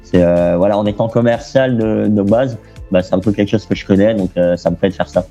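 A young man from France, a guest talking casually in an interview, relaxed and chatty with lots of fillers and restarts. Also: fairly low pitch, fairly quick, highs cut off, soft background music.

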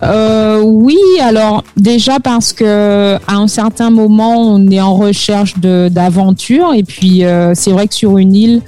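Mellow-voiced man from Martinique. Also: sits high, steady tone, smooth, soft background music. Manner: measured, many filler pauses. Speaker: guest, founder of an expat website. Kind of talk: remote interview.

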